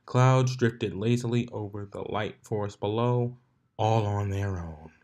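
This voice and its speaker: A man's voice: lowish voice, a little slow, a little mellow. A man reading a story aloud as narrator, sometimes stumbling and rereading his words.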